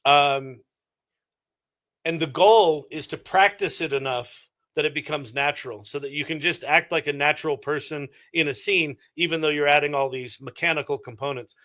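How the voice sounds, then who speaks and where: Bright-voiced middle-aged man, higher-pitched. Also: steady tone, normal speed. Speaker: interview guest, entrepreneur and former actor. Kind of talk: casual interview answers.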